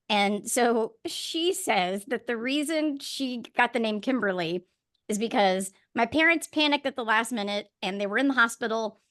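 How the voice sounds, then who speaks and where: A woman's voice: on the high side, pushed and bright. A woman hosting a casual interview, talking in a chatty, informal and joking way. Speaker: podcast host. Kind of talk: fun casual interview chat.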